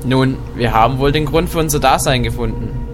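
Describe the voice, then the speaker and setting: A man's voice: medium pitch, a little fast. A young man in scripted audio drama dialogue, sounding like the sensible peacemaker of a comic group. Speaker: performer playing himself in audio drama. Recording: music bed behind the voice.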